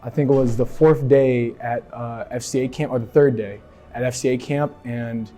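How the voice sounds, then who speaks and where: Mellow-voiced teen guy from Philly. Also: medium pitch, steady tone, soft background music. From Philadelphia. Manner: halting, many "like" and "right?" fillers. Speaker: athlete sharing testimony. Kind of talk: casual personal testimony.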